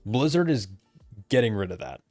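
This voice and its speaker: A man's voice: mid-range voice, sing-song, moderate pace, darkish tone, no room sound, faint music behind. A man losing his voice, talking casually about game changes late at night, rambling and correcting himself as he goes. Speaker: game content creator. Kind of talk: recorded commentary on game changes. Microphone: desk mic, camera off.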